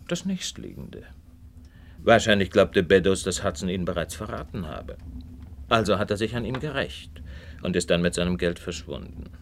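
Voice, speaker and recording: Male detective, radio drama, lively intonation, creaky low voice, patronising, theatrical. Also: normal speed, slight background noise. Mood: confident deduction. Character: self-assured, keen-minded male detective, condescendingly friendly.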